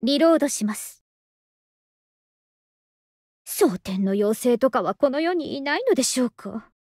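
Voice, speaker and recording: A woman playing a lazy, polite, softly affectionate young masked soldier in an anime game, with an understated, languid delivery. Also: high voice, animated, measured pace, no echo, studio quality. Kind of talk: Japanese anime game voice acting.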